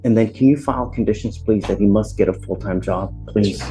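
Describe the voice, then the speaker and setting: A man's voice: on the low side, brisk, warm tone, low-quality recording. A man with an American accent speaking as a judge in a courtroom, stern, blunt and colloquial as he addresses people from the bench. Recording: courtroom audio with background music.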